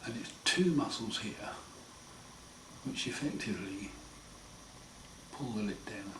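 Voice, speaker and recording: A man with a croaky, hoarse voice after throat surgery, talking slowly and wryly in a casual home video diary. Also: lowish voice. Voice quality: hoarse, partly breathy. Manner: slow, wry, plain-spoken, often pausing. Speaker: patient sharing his recovery. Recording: roomy echo, far mic, noisy.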